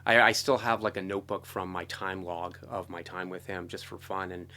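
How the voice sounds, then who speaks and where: Middle aged american guy, lowish voice, rambly and into it, telling career stories on an interview. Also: level tone, moderate pace, sharp tone. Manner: enthusiastic, rambling, punctuated with "like" and "you know". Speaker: interview guest, film and television composer. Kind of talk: casual conversational storytelling.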